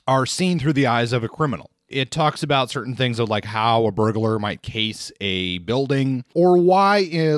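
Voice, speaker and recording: Relaxed man reviewing books, dry sound. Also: medium pitch, no room sound. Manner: relaxed, hesitant with many fillers. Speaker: podcast host reviewing books. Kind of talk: casual book review talk.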